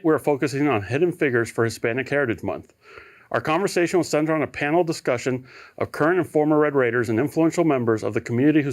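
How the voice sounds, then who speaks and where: Male panel host, quick formal delivery, measured introductions. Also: mid-range voice. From United States. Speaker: panel moderator. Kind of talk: hosting a panel discussion.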